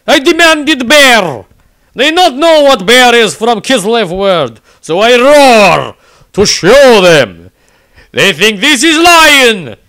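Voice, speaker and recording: A man's voice: high-pitched, a bit bright, no echo, clean studio sound, heavily acted. A man voicing a burly, blunt, gleeful fantasy warrior in an audiobook, speaking broken English with a Slavic, Russian-like accent. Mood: indignant. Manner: broken English, blunt exclaiming, hearty laughs. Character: boisterous burly Kislevite warrior, blunt, gleeful, diary-writing. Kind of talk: in-character fantasy audiobook diary.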